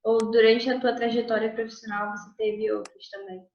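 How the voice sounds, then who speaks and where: A woman's voice: on the high side, flat delivery, fairly quick. A woman interviewing a guest in an informal, conversational way. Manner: informal, uses "tu" forms. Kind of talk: casual interview questions. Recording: echoey room, muffled audio.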